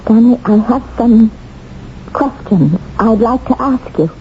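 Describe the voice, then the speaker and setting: A woman's voice: on the high side, a little slow, natural voice. An actress playing an intense, emotional young woman set on avenging herself on her torturer, in 1970s radio drama style. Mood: tense. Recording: muffled old radio broadcast, faint hiss.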